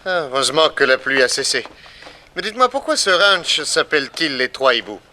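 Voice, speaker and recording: An actor playing a shrewd, brisk and cautious private detective in a French 1960s radio drama. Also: male voice, on the high side, big pitch swings, sharp tone, exaggerated voice. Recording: noisy old radio recording.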